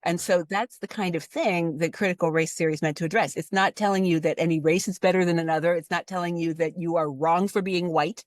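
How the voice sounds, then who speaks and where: A woman in her fifties from Cleveland, a guest expert talking casually, her pitch jumping high when she stresses a point. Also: brisk, hardly any pauses, no room sound, studio quality. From Cleveland, Ohio. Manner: animated, pitch jumps high for emphasis. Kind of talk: casual conversational discussion.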